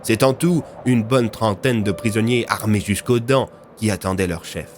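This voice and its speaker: A man's voice: on the low side, normal speed, a little hiss or hum. A man narrating a scripted audio story in a dramatic style.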